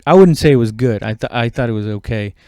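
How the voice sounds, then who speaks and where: Male comic podcaster. Smooth, clean voice, dry close sound, informal halting solo ramble with loose swearing. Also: medium pitch, lively intonation, a little mellow, no room sound. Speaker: stand-up comedian hosting own podcast. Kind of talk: casual rambling solo chat.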